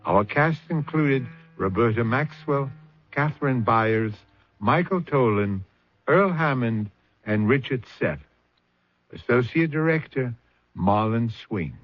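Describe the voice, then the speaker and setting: A man closing a radio drama with a measured, wry reflection and reading the credits. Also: mid-range voice, animated, no echo. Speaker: host. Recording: muffled old radio with faint music.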